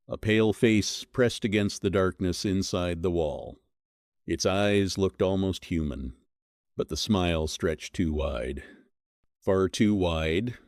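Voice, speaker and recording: Deep-voiced man narrating horror, close and dry. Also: darkish tone, no room sound. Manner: measured, suspenseful storytelling with short dramatic phrases. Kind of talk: scripted horror mystery narration.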